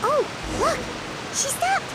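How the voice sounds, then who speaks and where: A woman voicing a tiny, chatty and excitable female fairy companion in a game, who talks about herself in the third person. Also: extremely high pitch, slow pace, heavy background noise, exaggerated voice. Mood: excited. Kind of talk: game dialogue. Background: game music.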